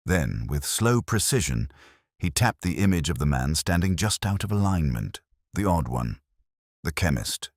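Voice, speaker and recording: A man narrating a mystery story in a slow, hushed, deliberate way. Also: extremely low pitch, very animated, dry recording, studio quality.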